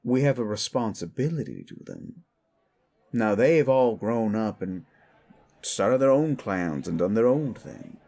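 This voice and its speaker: An older werewolf mentor, played by a man, speaking slowly with a low, gravelly creak. He is patient and frank, explaining things in measured steps, as in an audio drama. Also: big pitch swings, warm tone. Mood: patient, instructive. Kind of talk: scripted audio drama dialogue.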